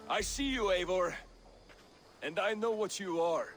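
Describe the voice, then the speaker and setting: A man's voice: sits high, unhurried, a bit bright, a little hiss or hum. An actor playing a proud, merciless, hot-headed warrior in a video game, scornful and blustering in his declamatory delivery. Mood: menacing. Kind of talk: dramatic video game acting.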